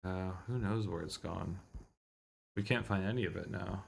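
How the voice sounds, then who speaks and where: A man's voice: low-pitched, moderate pace, gravelly, a little hiss or hum. A man streaming a visual novel, chatting casually and muttering mildly exasperated asides. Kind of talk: casual livestream chat.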